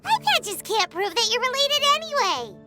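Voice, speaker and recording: A woman voicing a tiny, cheeky, childlike fairy companion in an English anime-style game dub, excitable in manner. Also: very high-pitched, normal speed, loud music behind the voice. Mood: excitable, puzzled. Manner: refers to herself in the third person, excitable.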